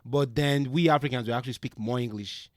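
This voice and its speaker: A man's voice: mid-range voice, fairly quick, no echo. Nigerian guy hosting a podcast, middle voice, dry sound, kinda rambly, hedges a lot. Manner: hedging, rambling, corrects himself. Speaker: podcast host. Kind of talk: casual podcast chat.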